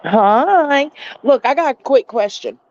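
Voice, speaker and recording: A woman's voice: high-pitched, animated, takes it slowly, no echo. A woman chatting casually as a caller on a livestream panel, heard over lo-fi voice chat audio.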